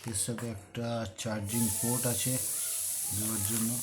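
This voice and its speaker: A man's voice: on the low side, flat delivery, normal speed. A man with an Indian Bengali accent talking casually as a vlogger during an unboxing, informally mixing in English words. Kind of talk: casual unboxing vlog talk. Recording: very noisy amateur vlog recording.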